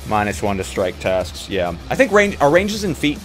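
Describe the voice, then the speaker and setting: A man's voice: fairly low pitch, big pitch swings, moderate pace, faint music behind. A man chatting casually and thinking aloud as a player in a tabletop roleplay.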